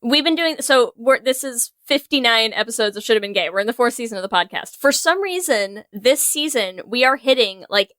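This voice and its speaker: High, bright-voiced woman joking around. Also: lively intonation, a little fast, hardly any pauses, dry recording, studio quality. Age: 30s. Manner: self-deprecating, mock-exasperated humor. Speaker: podcast co-host. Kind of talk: casual comedic banter.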